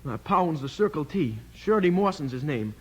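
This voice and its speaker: High, husky young cowboy. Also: male voice, lively intonation, moderate pace, a little mellow, lo-fi sound. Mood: defiant, uneasy. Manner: rustic, plain-spoken, protesting. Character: honest, frightened teenage cowboy, rancher's son. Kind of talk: 1950s radio western acting.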